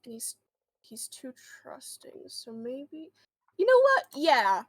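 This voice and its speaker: A woman's voice: high voice, huge pitch swings, takes it slowly, dry recording. A woman playing a tabletop role-playing game, chatting casually in and out of character in a hesitant, rambling way.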